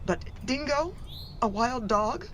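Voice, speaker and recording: Radio drama: fussy, sharp-tongued wife and mum played by a woman, exasperated, very animated intonation, somewhat high register. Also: slow, a little hiss or hum. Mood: scornful disbelief. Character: exasperated, fussy middle-aged wife and mother. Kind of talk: radio play acting.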